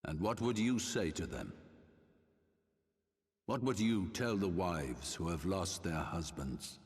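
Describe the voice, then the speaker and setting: An actor playing a coldly assured elderly archbishop in a game cutscene, speaking solemn, commanding rhetoric. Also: male voice, low voice, expressive, slow, full character voice. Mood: proud, challenging. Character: imperious, coldly assured elderly archbishop, father of a rebel son. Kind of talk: dramatic video game cutscene acting. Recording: long cavernous reverb.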